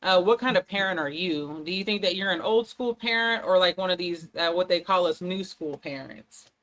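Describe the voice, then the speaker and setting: A woman with a bright, forceful voice hosts a casual panel talk. She drawls through her questions with plenty of ums and teases her guests. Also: fairly low pitch, moderate pace, rough recording. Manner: drawling, teasing, with many ums. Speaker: host.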